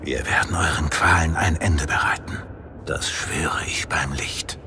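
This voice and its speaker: Deep, earnest holy warrior. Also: male voice, fairly even intonation, unhurried, in a whisper, over music. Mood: hushed resolve. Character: devout male paladin warrior, earnest and resolute. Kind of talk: audiobook drama acting in German.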